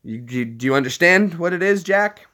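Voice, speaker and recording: A man's voice: fairly high pitch, animated, normal speed, sharp tone. A man from Tennessee with a neutral American accent, chatting casually to camera. He is jokey and explains things step by step. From Tennessee, United States. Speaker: vlogger.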